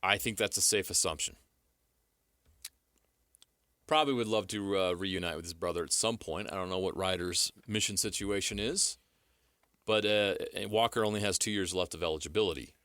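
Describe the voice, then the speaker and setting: Bright, low-voiced American sports radio man. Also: a little fast, dry recording. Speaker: sports talk radio co-host. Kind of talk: casual sports analysis.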